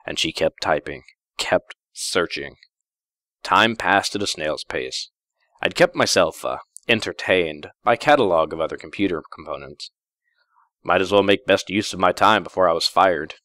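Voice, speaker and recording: A man with a low voice narrates fiction in the first person. His delivery is measured and deliberate with a dramatic storytelling feel, and the recording is very dry. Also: bright, forceful tone, dry recording.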